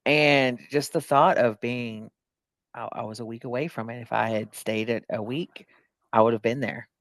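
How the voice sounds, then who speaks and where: A man's voice: medium pitch, steady tone, moderate pace, a bit bright, no echo. Male candidate guest, dry close sound. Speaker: political candidate, podcast guest. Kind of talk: conversational interview.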